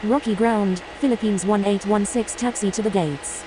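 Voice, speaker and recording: A woman's voice: medium pitch, normal speed, noisy background, close to an everyday voice. A woman playing an airline pilot in a flight game, reciting procedural radio calls in a clipped manner. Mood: businesslike. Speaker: airline pilot on air traffic control radio. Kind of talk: scripted radio phraseology.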